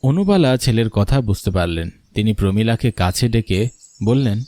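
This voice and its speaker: Mellow male storyteller. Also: fairly low pitch, lively intonation, normal speed, no room sound. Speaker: storyteller, narrator. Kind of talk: scripted story narration.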